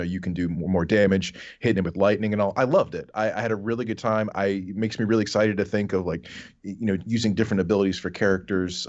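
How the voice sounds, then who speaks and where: A man with a low voice talks games casually and with enthusiasm. The sound is close and dry, slightly muffled, like a podcast panelist on a modest microphone. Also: a little mellow, no room sound. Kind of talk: casual chat about games.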